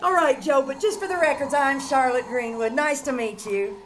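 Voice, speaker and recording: A woman playing a plucky, wisecracking single woman who takes charge, brisk and dryly sarcastic, in 1940s-style radio comedy. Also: high voice, lightly acted. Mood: wry, brisk. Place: small theater stage. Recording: noisy live stage performance.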